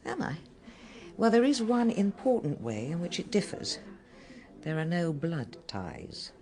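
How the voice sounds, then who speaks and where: An actress playing a confiding, regretful Englishwoman in a radio drama, speaking in a measured way with hesitant pauses. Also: on the low side, sing-song, a little mellow. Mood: guarded, candid. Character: adult female office colleague, confiding, regretful, gently forward. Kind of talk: naturalistic British radio drama acting.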